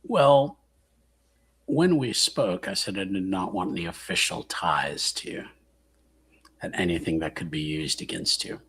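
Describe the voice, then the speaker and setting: A man playing a shrewd, blunt underworld contact in a tabletop game, wary of officials and deliberate in his speech. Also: low voice, fairly bright tone, husky, heavily acted.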